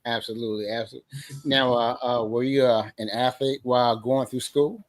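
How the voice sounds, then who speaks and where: A man's voice: medium pitch, steady tone, a little fast, no room sound. Dry-sounding man hosting an interview. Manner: repeats words, "awesome, awesome". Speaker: show host.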